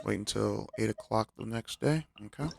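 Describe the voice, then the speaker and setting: A man's voice: lowish voice, moderate pace, vocal fry, darkish tone, soft background music. A man streaming a video game, reacting casually in informal, slangy speech.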